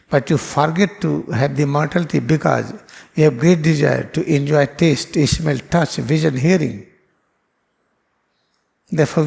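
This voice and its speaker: A man's voice: a little high, vocal fry, a little mellow. A man, a spiritual teacher, explaining scripture in an earnest, repetitive lecture with phrasing. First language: Hindi.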